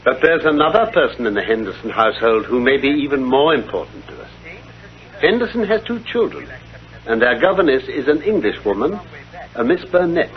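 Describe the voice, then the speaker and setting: A man playing a self-assured British gentleman detective in a vintage radio drama, speaking in precise, formal explanations. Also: mid-range voice, very animated, fairly quick, natural voice. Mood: intent, confiding. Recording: dull, lo-fi vintage radio recording.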